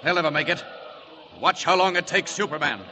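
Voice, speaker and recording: Casting: man as bold radio-serial hero, high for a male, authoritative and sure, over noisy effects. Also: brisk, close to an everyday voice. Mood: determined. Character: bold, commanding male superhero, righteous and confident. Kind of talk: 1940s radio serial acting.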